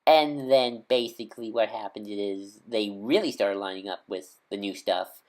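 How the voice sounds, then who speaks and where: A man's voice: on the low side, moderate pace, a little mellow. Rambling fan man full of ums. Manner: enthusiastic fan. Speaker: video host. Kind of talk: casual video discussion.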